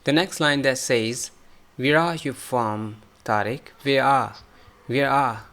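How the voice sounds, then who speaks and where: A man with a gravelly, mid-pitched voice coaches a student through everyday English phrases. He models each line slowly, then again at normal speed, patiently and methodically. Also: a bit bright. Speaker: English pronunciation teacher. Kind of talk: slow drilling of spoken phrases.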